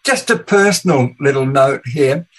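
A man's voice: medium pitch, animated, unhurried, fairly bright tone, rough recording. A man with a mixed English and Danish accent, hosting a casual talk show and thinking aloud as he speaks. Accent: English with Danish mix. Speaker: podcast host. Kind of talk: casual chat.

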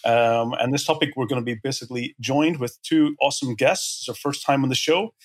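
A man's voice: medium pitch, steady tone, brisk. A man hosting a podcast, introducing guests enthusiastically with lots of fillers. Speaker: podcast host.

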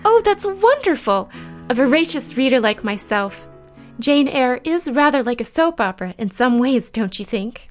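A woman's voice: sits high, big pitch swings, normal speed, faint music behind. An actress playing a gracious, bookish mid-century book club lady in an audio drama, polite, refined and encouraging. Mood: gracious, pleased. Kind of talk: period audio drama dialogue.

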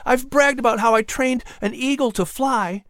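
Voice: medium pitch, normal speed, fairly bright tone, dry recording, clean studio sound, voice pushed up, close to an everyday voice. An audiobook narrator voicing an earnest, eager young boy in colonial times. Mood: confessing.

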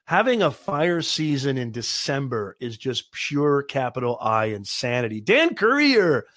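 A man co-hosting a casual live stream, slightly hoarse and raspy from a cold, chatty and joking. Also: mid-range voice, sing-song, a little slow, a bit bright, no room sound, studio quality. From Southern California. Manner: joking, chatty, laughs often. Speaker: co-host.

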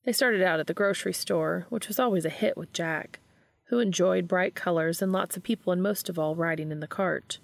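A woman narrates a story in a slightly low voice with plenty of creak. The recording is dry, with very little room sound, and she reads from a script as an audiobook reader would. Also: brisk, no echo. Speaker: audiobook reader of fan fiction.

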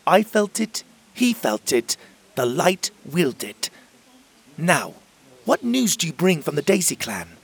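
A man's voice: on the high side, big pitch swings, unhurried, creaky, slightly rough, pitched above the performer's own voice, heavily acted. A man voicing a fiery, commanding female fairy leader in a raised, firm voice.

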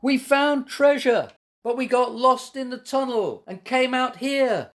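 A woman voicing an excited little animal adventurer who calls out urgently in a children's story. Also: high voice, very slow, voice pushed up, heavily acted. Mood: worried. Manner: excited, calling out.

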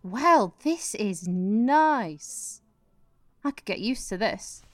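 A woman's voice: mid-range voice, lively intonation, extremely slow. A woman playing an earnest political aide in a satirical radio play, prompting her boss. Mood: wry, matter-of-fact. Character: eager female political aide to a prime minister.

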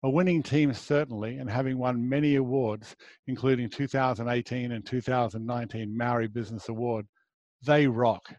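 A man's voice: medium pitch, fairly even intonation, moderate pace, darkish tone, no room sound, muffled recording. A man with an Australian accent hosting a travel show, reading a scripted intro and then chatting with enthusiasm. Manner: enthusiastic, affirming.

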